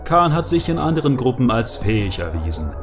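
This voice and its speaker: A man playing a genial, measured, worn-out ork fixer in a German audio drama. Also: medium pitch. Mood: calm. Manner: calm, courteous. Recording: music bed behind the voice.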